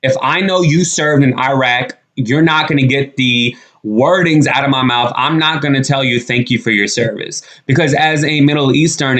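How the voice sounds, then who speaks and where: A man's voice: medium pitch, a bit bright. A young man from the United States giving blunt, emphatic reaction commentary on his vlog, repeating points and swearing freely. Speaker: commentary vlogger.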